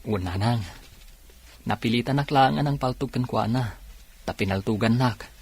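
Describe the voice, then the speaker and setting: A man's voice: mid-range voice, moderate pace, a little mellow, breathy edge. A man acting an earnest, dutiful young policeman in an Ilocano radio drama, speaking with an Ilocano accent. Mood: earnest, explaining. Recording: music bed behind radio drama.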